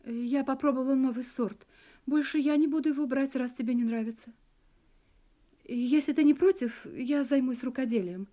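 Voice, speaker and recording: A woman's voice: sits high, moderate pace, dark tone, lightly acted. An actress in a Russian audio drama playing an anxious wife who hides her terror behind sweet domestic composure. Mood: placating.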